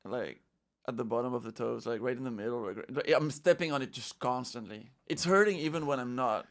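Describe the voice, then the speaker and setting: A man's voice: mid-range voice, fairly quick. A man from Spain, a guest comedian, chatting casually on a podcast with lots of fillers and restarts. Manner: animated storyteller, many fillers and restarts.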